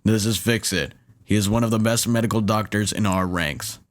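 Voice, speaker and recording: An actor playing a reluctant, overworked robot leader in an audio drama, dry and reserved. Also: male voice, lowish voice, normal speed, natural voice. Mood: explanatory.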